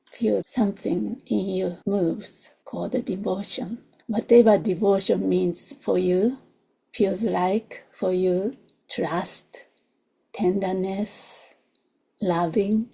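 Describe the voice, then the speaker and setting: A woman who is not a native English speaker leads a guided meditation in a soft, gentle voice, in short unhurried phrases that often drop toward a whisper. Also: mid-range voice, creaky, husky, rough recording. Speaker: meditation teacher. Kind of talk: guided meditation, slow and soothing.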